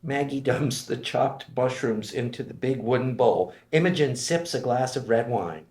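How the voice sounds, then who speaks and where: A man reads screenplay stage directions as scripted narration, setting out scenes and actions. His pitch sits in a middle range and the room around him is clearly echoey. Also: normal speed, darkish tone.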